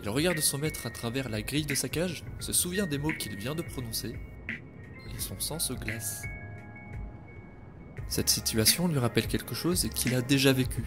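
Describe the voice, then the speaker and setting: A man's voice: mid-range voice, expressive, normal speed. Wry male storyteller over music. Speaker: narrator. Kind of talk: scripted narration of a podcast story.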